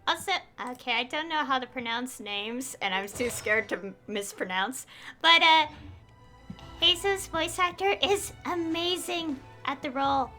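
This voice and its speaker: High, bright-voiced woman game streamer. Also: unhurried, faint music behind. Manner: excitable, rambling to viewers. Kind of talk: casual live game stream chat.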